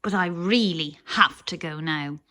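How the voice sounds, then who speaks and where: A woman's voice: lowish voice, unhurried, pushed and bright, voice pushed down. A woman reading a children's story, voicing a polite, sensible little girl who speaks earnestly.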